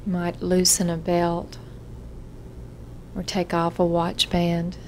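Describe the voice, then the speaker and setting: A woman therapist leading a guided self-hypnosis relaxation session, giving gentle, measured instructions with frequent pauses. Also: lowish voice, monotone, slight background noise.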